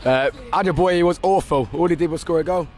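A man's voice: on the high side, a little fast, a little mellow. A young male football fan with an English accent, giving blunt, joking opinions in casual banter outdoors. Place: outside a stadium by a brick wall. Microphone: handheld phone held out.

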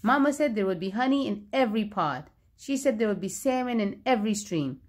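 A woman narrating a children's storybook aloud, expressively and with clear phrasing for young listeners. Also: mid-range voice, animated, brisk.

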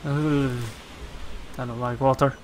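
A man's voice: mid-range voice, flat delivery, slow, a little hiss or hum, poor audio. A man casually commenting on gameplay, thinking aloud with many fillers. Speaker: gaming channel host. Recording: voice over loud game music. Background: game music.

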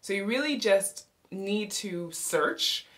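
A woman's voice: medium pitch, animated, takes it slowly, a bit bright. An American woman in her thirties talking to camera from a small bedroom, explaining things in an upbeat, chatty way. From United States. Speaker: vlogger and podcast host. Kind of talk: informative casual talk to camera. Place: small bedroom with sloped ceiling.

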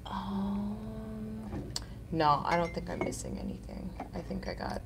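A woman's voice: lowish voice, very animated, measured pace, vocal fry, noisy background. A woman in her thirties hosting a casual live nail art tutorial, chatty and upbeat, sounding nasal from a cold.

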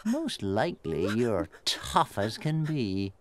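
A woman voicing a greedy, boastful male rat who is a highway robber, in a picture-book read-aloud. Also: very animated, background noise, full character voice. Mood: swaggering. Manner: swaggering, drawn-out storybook reading.

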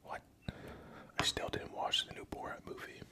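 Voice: moderate pace, bright, forceful tone. A young adult whispering crude, rambling chat close to a studio microphone at home, a little tipsy. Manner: profane, rambling, joking. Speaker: ASMR creator. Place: home room. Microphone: studio USB microphone.

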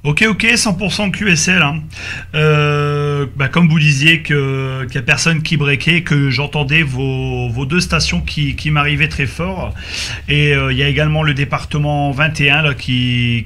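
A man with a fairly high, very bright voice talks as a radio operator. He chats in call signs and spells things out letter by letter. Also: steady tone, normal speed, a little hiss or hum.

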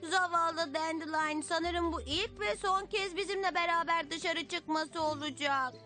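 Young rabbit, very high bright voice, frank, sympathetic, cartoon dub. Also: female voice, unhurried, faint music behind, heavily acted. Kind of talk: Turkish-dubbed children's cartoon acting.

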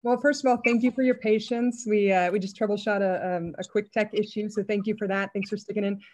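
A woman's voice: medium pitch, steady tone, fast talker, darkish tone. A woman co-hosting an online event, giving an informal welcome to viewers over a video call. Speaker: writer and co-host of the event. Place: indoor room at home, on a group video call. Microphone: video-call microphone.

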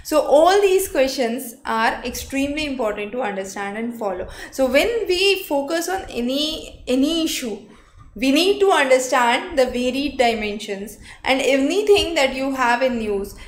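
A woman's voice: high-pitched, expressive, normal speed, reverberant, slight background noise. A young woman with an Indian English accent giving a live exam-prep lecture, explaining step by step with frequent "uh" fillers. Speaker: exam coaching tutor. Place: studio, seated before a screen backdrop.

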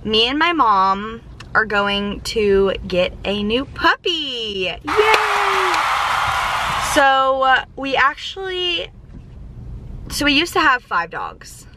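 A woman's voice: high voice, very animated, takes it slowly, heavy background noise. A woman of twenty-one chatting casually to camera from the driver's seat of a car, bubbly and full of fillers. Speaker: vlogger. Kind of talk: casual vlog chat. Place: inside a parked car. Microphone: camera on the dashboard.

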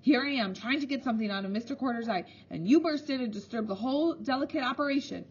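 A woman voicing a stern, shrill female school matron who scolds and commands. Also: lively intonation, normal speed, a little mellow. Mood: indignant. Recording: slightly dull lo-fi recording.

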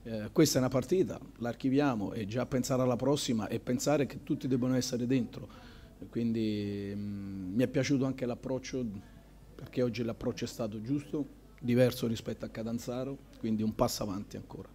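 A football coach in his forties speaks at a table microphone in a press room after a match. His voice is mellow and dark, and he answers in a measured, thoughtful way with frequent pauses and fillers. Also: mid-range voice. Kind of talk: post-match press conference. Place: press room in front of a sponsor backdrop.

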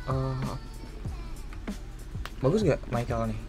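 A man's voice: mid-range voice, lively intonation, slow. A man chatting casually while roleplaying in a video game. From Indonesia. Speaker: gaming streamer, roleplay player. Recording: loud music and car sounds behind. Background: music, game vehicle sounds.

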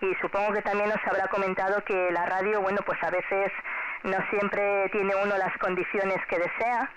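A woman's voice: mid-range voice, level tone, normal speed. A woman with an accent from Spain talking courteously and at length as an amateur radio operator, heard over shortwave radio. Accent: Spanish from Spain. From Galicia, Spain. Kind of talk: ham radio contact, friendly chat with callsigns. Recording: noisy, dull shortwave radio reception.

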